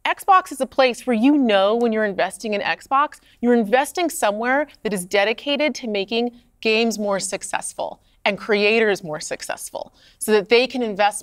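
Polished, smooth-voiced woman executive. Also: fairly bright tone, no room sound, clean studio sound. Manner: polished, confident pitch-like delivery. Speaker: gaming company executive. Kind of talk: podcast interview answers. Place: studio set.

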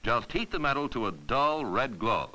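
A man giving scripted instructional narration for a 1950s educational film, patient and clear as he walks through each step. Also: medium pitch, big pitch swings, moderate pace, bright, forceful tone.

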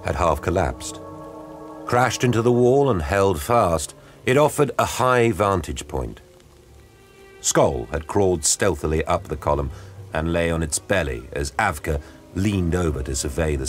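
A man narrating an audio drama in a grave, measured storytelling style. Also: deep voice, expressive. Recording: studio narration over music. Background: dramatic music.

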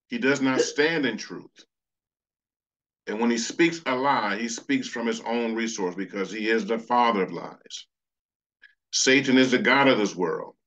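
A man's voice: mid-range voice, fairly bright tone, highs cut off. A man preaching a sermon over slides, earnest, reading scripture passages aloud. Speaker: pastor.

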